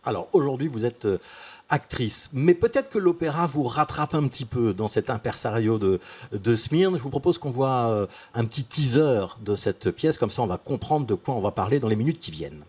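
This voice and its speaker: A man hosting a TV interview, asking measured questions with frequent fillers. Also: medium pitch, expressive, a little mellow, breathy edge. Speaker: TV host.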